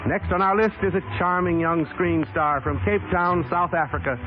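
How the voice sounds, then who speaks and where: A man's voice: high-pitched, moderate pace. A man announcing a 1930s radio variety show in a formal, polished delivery. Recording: tinny old radio broadcast with music.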